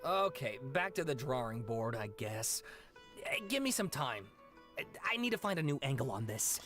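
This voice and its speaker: A frustrated young student mutters in a deflated tone, mid-pitched and animated. The student sounds like someone regrouping after a setback. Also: normal speed, soft background music. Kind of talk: game character dialogue.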